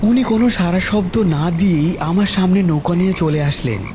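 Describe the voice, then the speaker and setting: A man's voice: high voice, a little fast, a little mellow, slight background noise. A man narrating a scripted horror story in the first person.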